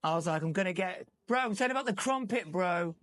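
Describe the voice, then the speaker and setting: A man's voice: high voice, animated, fairly quick, a bit bright. A young English man, a musician guest, chatting casually in an interview, swearing and acting out bits of dialogue as he tells stories. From England. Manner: chatty storyteller, swears, acts out quoted dialogue.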